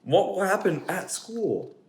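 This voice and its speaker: A man's voice: sits high, sing-song, a little slow, pitched above the performer's own voice. A man voicing an anxious, dramatic, know-it-all pigeon from a children's storybook, fretful and full of questions. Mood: worried, fretful. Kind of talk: children's storybook character reading. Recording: echoey room, faint background noise.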